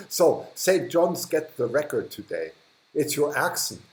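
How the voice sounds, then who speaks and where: A man's voice: a little high, sing-song, slow pace. Chatty German-accented man in an echoey room. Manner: chatty, laughs often, trails off and restarts. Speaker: livestream host. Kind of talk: casual live chat reading viewer comments.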